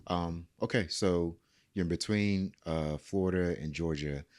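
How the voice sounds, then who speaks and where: Very deep-voiced laid-back podcast host. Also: male voice, a little slow, a little mellow. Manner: laid-back, gives lots of short backchannel replies. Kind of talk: casual interview chat.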